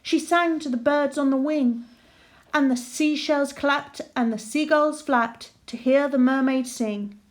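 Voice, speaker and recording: A woman narrating a rhyming children's storybook aloud in a measured, sing-song way. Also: high-pitched.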